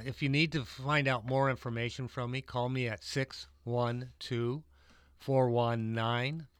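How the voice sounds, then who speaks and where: A man's voice: mid-range voice, smooth, dry recording. A man who teaches computer classes, chatting casually on a radio show with stumbling restarts. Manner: chatty, stumbling restarts, reads contact details slowly.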